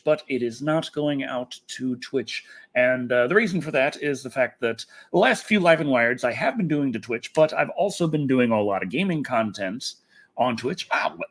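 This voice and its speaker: A man's voice: mid-range voice, a bit bright. Rambling man hosting a livestream. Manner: rambling, repeats phrases for emphasis. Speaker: internet personality, livestream host. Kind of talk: casual livestream chat, answering viewers.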